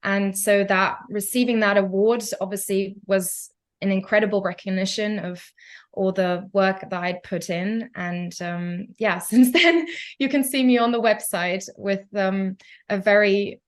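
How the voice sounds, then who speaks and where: A young woman from Germany speaks English with a German accent as a podcast guest. She talks in a thoughtful, reflective way, pausing gently between her ideas. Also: mid-range voice, steady tone. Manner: thoughtful, reflective, with soft laughs and hesitations. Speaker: guest, pianist and founder. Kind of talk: podcast interview.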